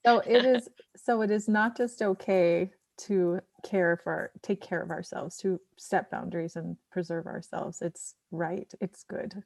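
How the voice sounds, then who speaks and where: A woman's voice: lowish voice, steady tone, measured pace, creaky, few pauses, a little mellow, dry recording. A woman in a casual podcast discussion, speaking in an affirming, emphatic way.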